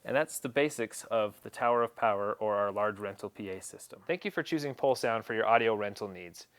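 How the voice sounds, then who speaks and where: A man's voice: fairly low pitch, brisk, fairly bright tone, smooth. A man presenting an instructional equipment setup tutorial, explaining each step in a plain, practical way.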